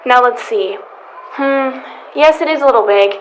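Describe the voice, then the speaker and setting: A woman's voice: high-pitched, moderate pace, slight background noise, natural voice, rough recording. A woman playing a genteel, fussy, motherly shopper in radio comedy style. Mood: chatty, pleasant. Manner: motherly, chatty. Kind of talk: old-time radio comedy acting.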